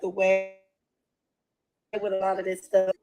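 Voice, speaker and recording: A woman's voice: medium pitch, completely flat delivery, measured pace, dark tone, lo-fi sound. A woman with a stuffy nose talking casually in a home vlog clip, partly addressing a small child.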